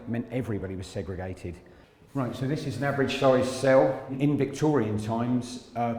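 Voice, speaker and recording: A man's voice: lowish voice, warm tone. A man giving an informal, explanatory history talk as a guide. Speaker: prison guide. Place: inside a large reverberant prison building. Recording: long echo, faint background noise.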